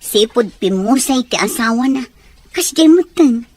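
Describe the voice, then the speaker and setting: Concerned Ilocano auntie, acted by a woman, high voice, dry recording over faint music. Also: lively intonation, measured pace, darkish tone, no echo. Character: hospitable, concerned aunt. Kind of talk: Ilocano audio drama acting.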